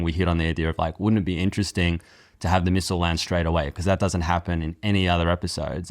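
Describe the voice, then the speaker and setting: A man's voice: low-pitched, dry recording, studio quality. A man speaking earnestly as a co-writer and script producer in a reflective podcast conversation, often framing points as questions. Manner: earnest, explains by posing rhetorical questions.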